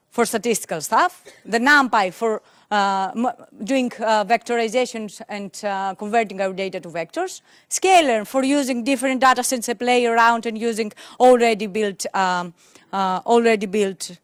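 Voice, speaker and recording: A young woman whose first language is Greek gives a technical conference talk into a handheld mic in a conference room, pausing often with fillers and restarts. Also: mid-range voice, no echo, clean studio sound. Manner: hesitant, many fillers and restarts. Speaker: conference speaker.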